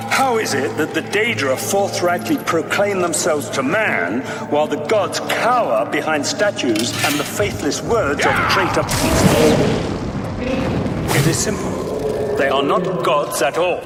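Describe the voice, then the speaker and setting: A zealous cult leader from a fantasy game, grandiose and pompous. He preaches dark prophecy slowly, in a fairly high male voice. Long reverb surrounds every measured phrase. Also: expressive. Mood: preaching, scornful. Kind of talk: theatrical fantasy game monologue. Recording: echoey hall reverb, music behind.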